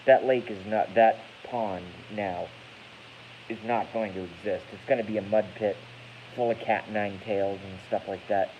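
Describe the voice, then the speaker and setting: A man's voice: on the low side, steady tone, a little slow. Rambling, cursing man in his thirties. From Massachusetts. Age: 30s. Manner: rambling, profane, self-correcting. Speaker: YouTube streamer. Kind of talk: casual live-stream rant. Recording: dull lo-fi with faint hiss.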